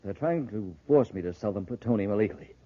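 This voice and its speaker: A man's voice: fairly low pitch, animated, quick pace. An actor playing an honest, nervous businessman, hesitant and earnest, in a 1950s radio serial. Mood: earnest, anxious. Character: nervous but honest businessman under blackmail. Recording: narrow, tinny old 1950s radio recording.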